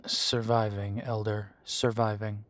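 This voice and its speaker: A man's voice: lowish voice, flat delivery, slow, fairly bright tone. A man playing a sardonic half-breed werewolf with a dry, sarcastic way of talking. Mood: tense, bitter.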